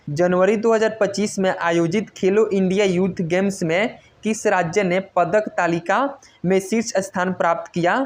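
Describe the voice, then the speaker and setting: A woman teaches in a quiz-style exam lesson, reading out questions and stressing each answer repeatedly in an instructional way. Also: fairly low pitch. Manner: instructional, repetitive emphasis on key answers. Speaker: exam coach.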